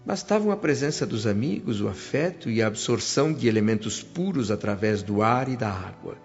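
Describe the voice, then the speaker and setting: A man playing a humble, reflective spirit who narrates a radio drama in earnest, measured phrases with a Brazilian accent. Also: medium pitch, animated, close to an everyday voice. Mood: solemn, reflective. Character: reflective, humble male spirit recounting his awakening. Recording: dull audio with music behind.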